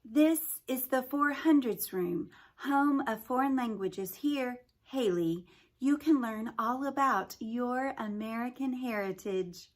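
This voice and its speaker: A woman voicing a jolly, booming announcer who welcomes visitors over ceiling speakers. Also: slow. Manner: booming, cheerful, showman-like. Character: jolly booming library announcer, showy and cheerful.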